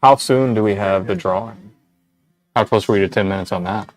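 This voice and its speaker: A man's voice: on the low side, fairly quick, no echo, soft background music. Nasal young guy rambling on a shop stream, dry close sound. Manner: rambling, repeats "right?". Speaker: tattoo shop owner hosting a livestream. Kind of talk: casual livestream chat.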